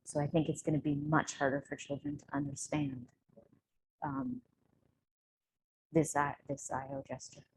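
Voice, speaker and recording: A woman speaker answering questions in an unscripted academic discussion, reasoning aloud in a measured way. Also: low voice, very animated, vocal fry. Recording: faint background noise.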